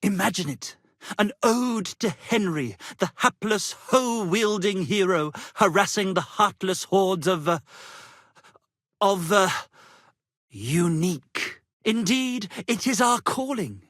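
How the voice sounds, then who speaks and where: An actor voicing a vain, florid wandering poet-musician, theatrical and grandiloquent, in a medieval video game. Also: male voice, sits high, animated, slow, fairly bright tone, dry recording, studio quality, heavily acted. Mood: grandiose. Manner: flowery, grandiloquent, melodramatic.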